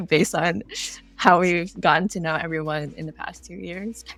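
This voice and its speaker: A woman's voice: lowish voice, measured pace, gravelly, no room sound. A woman speaking as a guest game developer in a casual podcast interview, explaining things conversationally.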